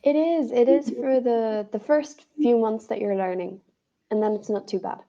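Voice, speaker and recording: A woman's voice: fairly high pitch, warm tone, muffled recording. Irish woman tutoring over a video call. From Ireland. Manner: friendly, encouraging, quick affirmations. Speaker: online English tutor. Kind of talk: casual video-call conversation lesson.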